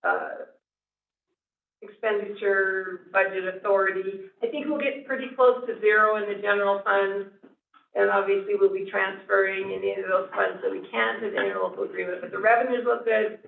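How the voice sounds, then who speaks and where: A woman's voice: medium pitch, fairly even intonation, moderate pace, vocal fry. A woman giving a finance report at a school board meeting, plain-spoken and thinking aloud with fillers. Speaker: school district finance officer. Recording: echoey room, far from mic, thin lo-fi line.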